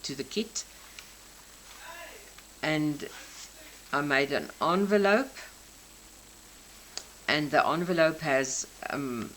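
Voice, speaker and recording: A South African woman in her sixties, a native Afrikaans speaker, gently explaining each detail of her craft journal. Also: low voice, drawn-out words, long pauses, a little hiss or hum, poor audio. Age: 60s. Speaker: craft channel host. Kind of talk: crafting show-and-tell flip-through.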